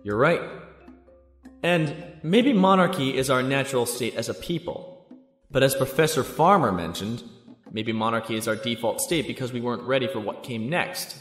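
A man's voice: medium pitch, animated, normal speed, natural voice. An actor playing an earnest young male student who debates in an articulate, explanatory way in an audio drama. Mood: earnest, reasoned. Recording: echoey hall reverb with music.

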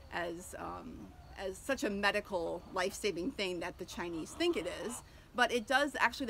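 A woman's voice: mid-range voice, pushed and bright, a little hiss or hum. A woman in her thirties, a homesteader, chatting casually about her chickens outdoors beside a coop, with many ums and restarts. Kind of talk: casual educational vlog. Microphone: camera at close range.

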